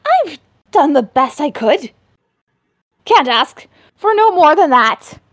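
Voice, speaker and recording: A woman's voice: high voice, very animated, slow pace, dry recording, studio quality, pitched above the performer's own voice, heavily acted. A woman voicing a cheeky, grumbling male imp trapped in a bronze disc. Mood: weary.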